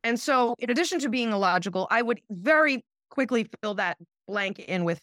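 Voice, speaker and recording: An emphatic, indignant woman argues her point in a casual political chat and swears for emphasis. Her pitch swings widely as she talks. The recording is close and dry. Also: lively intonation, fairly quick, fairly bright tone, no room sound. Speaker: guest commentator.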